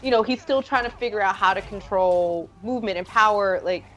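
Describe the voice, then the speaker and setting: Woman host, casual live interview, eager and rambling, mid-pitched. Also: fairly quick, a bit bright, dull audio. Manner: enthusiastic, rambling questions.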